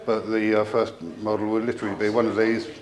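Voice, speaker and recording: A man giving an informal technical product explanation as a company representative, in a hesitant, halting way with trailing asides. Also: lowish voice, level tone, crowd noise.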